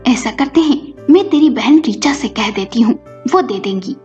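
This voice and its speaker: A woman storyteller voicing a defensive, quick-tempered older mother-in-law in a family story. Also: on the high side, lively intonation, mellow, lightly acted. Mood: concerned. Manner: indignant, scolding retorts. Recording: muffled recording with music behind.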